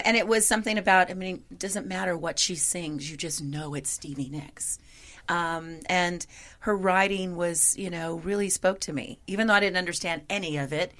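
A woman singer in a conversational interview, with a gravelly voice and a chatty manner full of "you know". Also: lowish voice, fairly bright tone.

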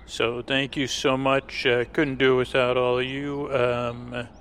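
A man hosting a podcast, casually thanking listeners in a rambling, halting way. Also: medium pitch, little pitch movement, fairly bright tone, slight background noise. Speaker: podcast host.

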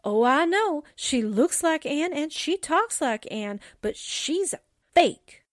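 Lady doing a plucky 40s radio secretary gal, high voice, takes her time, very theatrical. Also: very animated, slow, dry recording, heavily acted. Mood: sure, conspiratorial. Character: plucky, suspicious young female newspaper secretary. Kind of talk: 1940s radio drama acting.

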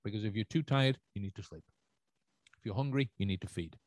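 A man's voice: fairly low pitch, a little fast, no echo. A man hosting a solo livestream podcast, talking through his thoughts and answering chat with many restarts and fillers. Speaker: podcast host.

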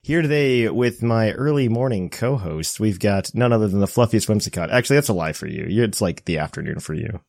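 A man with a fairly low voice hosts a casual podcast. He talks in a joking, self-deprecating way, recorded close and dry. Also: animated, a little fast, vocal fry, few pauses, a little mellow, dry recording. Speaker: podcast host. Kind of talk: casual chat.

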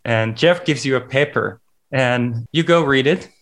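A man's voice: mid-range voice, unhurried, fairly bright tone. A man hosting a podcast, asking questions in an enthusiastic, chatty way and often repeating words as he starts a thought. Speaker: podcast host. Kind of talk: conversational interviewing.

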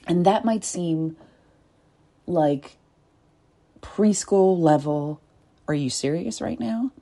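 A woman with a deep, soft voice speaking in a reflective, deliberate way, with pauses and whispered asides. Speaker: body-work coach. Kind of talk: reflective spoken essay.

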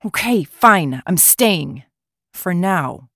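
A woman's voice: lowish voice, expressive, extremely slow, fairly bright tone, no room sound, clean studio sound, exaggerated voice. A woman playing a wary, reluctant woman on the run in a crime audio drama, terse and guarded.

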